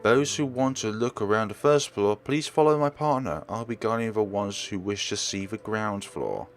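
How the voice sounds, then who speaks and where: A man's voice: on the low side, moderate pace, soft background music, lightly acted. A man voicing a polite young woman estate agent who addresses guests formally in a visual novel. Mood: formal, welcoming. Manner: formal, announcing. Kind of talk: acted visual novel dialogue.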